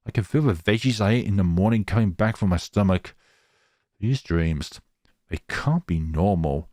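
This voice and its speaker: A man's voice: fairly low pitch, a little mellow, no echo, studio quality. A man hosting a gaming video, reading a visual novel aloud dramatically with casual, wry commentary.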